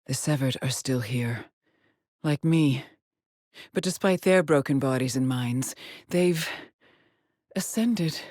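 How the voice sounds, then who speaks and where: An actress playing a haunted, weary woman astronaut stranded alone on an alien world in a video game, with a breathy voice and a grave, brooding delivery. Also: rumbling low, slow pace, pauses often, a little mellow, no room sound, studio quality, heavily acted. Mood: grave, contemplative. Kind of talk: dramatic game voice lines, monologue logs.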